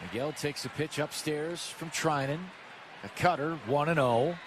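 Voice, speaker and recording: Man, American accent, higher-set voice, baseball radio commentary, clear ballpark noise behind. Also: measured pace. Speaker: baseball radio play-by-play announcer. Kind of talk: live sports commentary. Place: stadium broadcast booth. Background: ballpark ambience.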